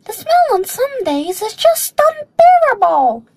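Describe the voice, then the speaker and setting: A woman's voice: extremely high pitch, very animated, unhurried, darkish tone, a little hiss or hum, voice pushed up, exaggerated voice. A woman voicing an indignant, fed-up talking tree in a scripted storybook drama. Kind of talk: scripted voice-over drama reading.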